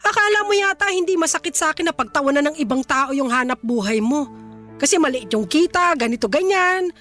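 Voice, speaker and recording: A woman's voice: sits high, lively intonation, a little fast, a bit bright. An actress with a Filipino accent playing a stern, resentful mother of a grown son, scolding and exasperated in radio drama style. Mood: defensive, bitter. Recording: faint music bed.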